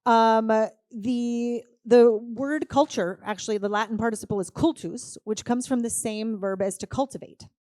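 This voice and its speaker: A woman's voice: fairly high pitch, steady tone, normal speed, hardly any pauses, a little mellow, no room sound. A woman moderating a live conference panel, upbeat and playful as she keeps the talk going. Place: conference stage.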